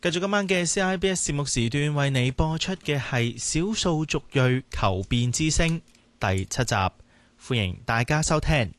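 A man's voice: a little high, expressive, normal speed, fairly bright tone. A man reading a formal station announcement on community radio.

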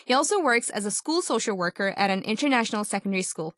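A woman's voice: mid-range voice, animated, quick pace, fairly bright tone, no echo, studio quality. A woman hosting a radio talk show, upbeat and articulate. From Pakistan. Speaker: host.

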